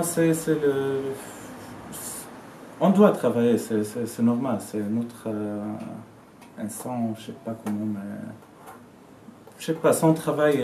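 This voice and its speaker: A man's voice: mid-range voice, mellow. A man in his twenties from Syria, speaking French as an interviewee, searching for words but talking with conviction. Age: 20s. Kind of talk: casual testimony in a learned language. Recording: roomy, distant mic, faint noise.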